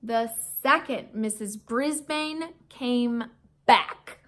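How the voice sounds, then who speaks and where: A high-voiced woman narrates a children's book as a teacher would. She takes her time, with theatrical emphasis that draws young listeners in. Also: extremely slow, a bit bright. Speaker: teacher reading aloud to children. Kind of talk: expressive children's storybook narration.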